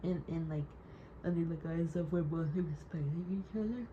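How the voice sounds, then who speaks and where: A woman's voice: deep voice, normal speed. A young woman recording a personal audio diary, rambling and hesitant with many "like"s, hurt and wry as she holds back tears. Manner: rambling, hesitant, heavy use of "like". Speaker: audio diarist. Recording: dull lo-fi recording with clear background noise.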